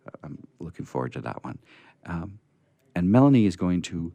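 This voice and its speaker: A male radio host with a very low, creaky voice, chatting casually. He rambles and hesitates in a folksy manner, with lively swings in pitch and a close, dry sound. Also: moderate pace, dry recording. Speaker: host of a radio storytelling show. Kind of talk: casual unscripted show chat.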